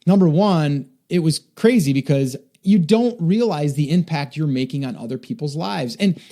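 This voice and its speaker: A man's voice: fairly high pitch, animated, moderate pace, warm tone. A man hosting a solo podcast, talking earnestly and encouragingly to listeners, often repeating himself for emphasis. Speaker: podcast host.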